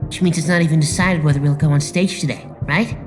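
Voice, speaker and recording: A woman's voice: deep voice, fairly even intonation, fast talker, lightly acted. A woman voicing a confident, breezy teenage boy idol who is eager to shine, in an anime fan dub. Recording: music under the voice.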